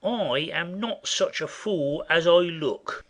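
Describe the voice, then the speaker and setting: An actor playing a foolish, stubborn manservant who thinks himself clever, smug in a classic comedy audio play. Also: male voice, a little high, slow, a bit bright.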